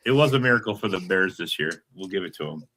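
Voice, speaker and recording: A man's voice: deep voice, lively intonation, quick pace, bright, forceful tone, a little hiss or hum. An American man co-hosting a sports show, chatting casually with lots of slang and swearing. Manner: slangy, says bro often, profane. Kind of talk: casual chat.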